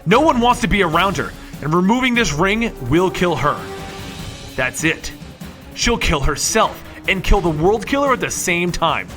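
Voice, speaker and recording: Male narrator, bright forceful voice, dramatic scripted storytelling over faint music. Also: high voice, lively intonation, moderate pace. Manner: dramatic, emphatic delivery with rhetorical questions. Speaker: comic book story narrator.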